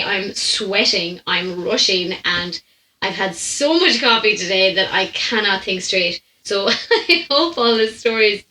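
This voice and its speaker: A woman's voice: medium pitch, pushed and bright. A young Irish woman telling stories off the cuff as a podcast host, chatty and rambling with asides, on a stand microphone in a room at home. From Ireland. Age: young adult. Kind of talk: casual off-the-cuff storytelling. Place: small room at home, indoors. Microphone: microphone on a stand, close in front. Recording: roomy home recording.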